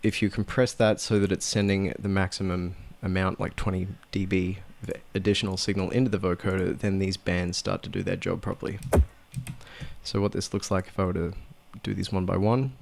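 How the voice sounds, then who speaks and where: A man narrating a casual software tutorial in a low-key, matter-of-fact way, like a sound designer explaining his process. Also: fairly quick, gravelly.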